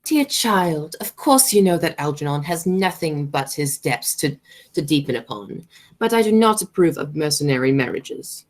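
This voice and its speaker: A woman's voice: low voice, expressive, moderate pace. A woman playing an imperious, snobbish English aunt in a radio comedy, pompous and declamatory, stumbling now and then over the words. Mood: grandly condescending. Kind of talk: stage comedy as amateur radio play.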